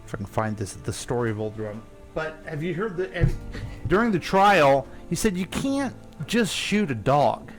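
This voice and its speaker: A man's voice: on the high side, very animated, normal speed, faint music behind. A man hosting a casual radio talk show, telling stories in a rambling way full of fillers and restarts. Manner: rambling storyteller, thinks aloud with fillers. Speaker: radio host. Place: radio studio.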